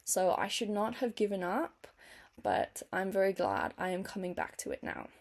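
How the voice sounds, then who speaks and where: A woman's voice: medium pitch, wildly swooping pitch, moderate pace, darkish tone. A young woman, a non-native English speaker, talking casually to camera as a vlogger in a chatty, earnest way. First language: not English. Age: young adult.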